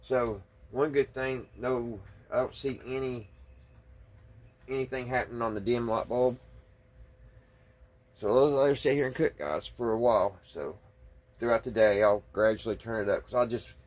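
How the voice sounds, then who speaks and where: A man with a slightly husky voice, talking casually and folksily through hands-on repair work, thinking aloud without hurry. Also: mid-range voice, fairly even intonation, a little mellow. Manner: folksy, unhurried, thinking aloud while working. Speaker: hobbyist radio restorer and video host. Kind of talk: casual hands-on workbench narration.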